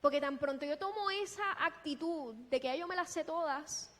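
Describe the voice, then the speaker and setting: A woman preaching a sermon in a deliberate, emphatic way, posing rhetorical questions. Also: sits high, fairly bright tone. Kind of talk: sermon with scripture exposition. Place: large echoing church hall. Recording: long reverberant hall sound.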